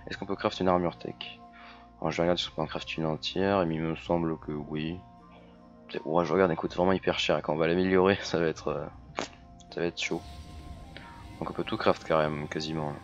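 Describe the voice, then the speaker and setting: A hoarse man chatting casually as he plays a video game, full of fillers and restarts. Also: very deep voice, steady tone, brisk, dull audio, faint music behind. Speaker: gaming YouTuber. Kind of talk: casual let's-play commentary.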